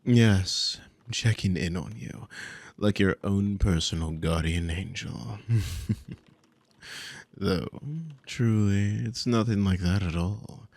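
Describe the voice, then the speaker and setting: A man's voice: low-pitched, slow pace, vocal fry, a little mellow, dry recording, full character voice. A man playing a dominant, possessive demon in an ASMR roleplay, mockingly amused and condescending, with teasing, formal, theatrical speech. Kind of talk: ASMR audio roleplay spoken to the listener.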